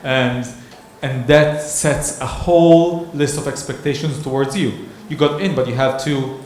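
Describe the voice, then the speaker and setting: A man's voice: mid-range voice, a little slow, darkish tone, slight background noise. A man moderating a workshop discussion, talking in a hesitant, thoughtful way with many restarts. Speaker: session moderator. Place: conference hall. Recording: echoey conference hall.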